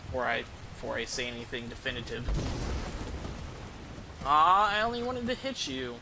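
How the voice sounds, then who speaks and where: A man's voice: medium pitch, sing-song, moderate pace, noisy background. A man giving casual, rambling gameplay commentary in a hesitant, profanity-prone way. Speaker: game streamer.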